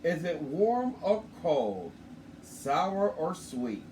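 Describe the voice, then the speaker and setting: Lively sing-song man reading aloud. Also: a little high, extremely slow. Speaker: co-reader. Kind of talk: reading a picture book aloud. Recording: echoey room, far from the mic, faint noise.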